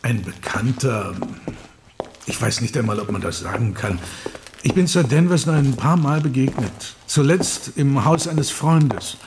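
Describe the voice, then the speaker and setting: An actor playing a polite, calm gentleman in a radio drama, speaking in a measured, courteous way. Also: mid-range voice, background noise. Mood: reserved. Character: polite, calm gentleman helping a police inquiry.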